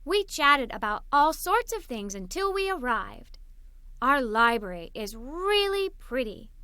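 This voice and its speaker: A woman reading a children's story aloud in an animated, expressive way. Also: sits high, a little slow, clear, resonant tone, no echo, studio quality. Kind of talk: scripted read-aloud storytelling for kids.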